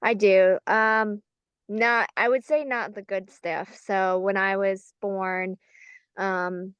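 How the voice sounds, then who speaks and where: A young woman with an American accent talks casually as a podcast guest. Her voice is clear and smooth at an average pitch, recorded very dry. She speaks in a halting, informal way, leaning on fillers. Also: fairly even intonation, fairly bright tone, dry recording, lo-fi sound. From United States, Southern Maryland from age four. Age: young adult. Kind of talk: casual personal interview.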